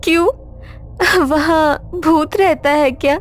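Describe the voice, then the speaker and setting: Woman acting a high-voiced, mocking young doctor. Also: takes it slowly. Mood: amused, mocking. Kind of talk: cartoon horror story dialogue.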